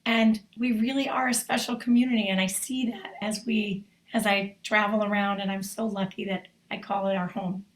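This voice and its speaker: A woman with a hoarse voice talks warmly and loosely on a video call, drifting into asides as she goes. Her pitch stays fairly level, and her voice bounces around an echoey room at home. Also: little pitch movement, normal speed, hardly any pauses. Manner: chatty and effusive, rambling asides. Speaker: federation volunteer leader, featured speaker. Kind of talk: off-the-cuff remarks on a video call. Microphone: video call mic.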